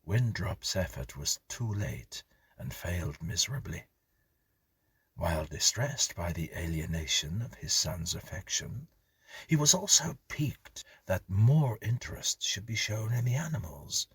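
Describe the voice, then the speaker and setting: Male horror audiobook reader: extremely husky and breathy, dry intimate sound, measured and grave delivery. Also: low voice, sing-song, dry recording. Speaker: narrator, story reader. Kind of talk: scripted horror story narration.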